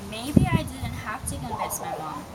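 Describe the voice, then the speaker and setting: A woman's voice: on the high side, very animated, normal speed. A woman playing an eager, scheming young girl ant who pleads her case in a readers theatre story. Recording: very noisy, distant microphone.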